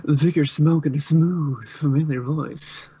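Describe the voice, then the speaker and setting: A man's voice: fairly high pitch, fairly even intonation, measured pace, warm tone, slightly rough, no echo, muffled recording. A man reading scripted story narration, as the narrator of an amateur audio drama.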